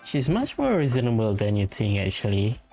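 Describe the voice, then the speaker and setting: A woman's voice: rumbling low, very animated, moderate pace, mellow, faint music behind. A woman giving laid-back, casual commentary in short reactions as she plays a game. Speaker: gaming let's-play commentator.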